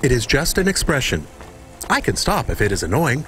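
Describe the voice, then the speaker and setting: A man's voice: medium pitch, sing-song, fairly quick, fairly bright tone, faint music behind. A man voicing an innocent, loyal AI companion in a video game, speaking politely and naively. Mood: earnest.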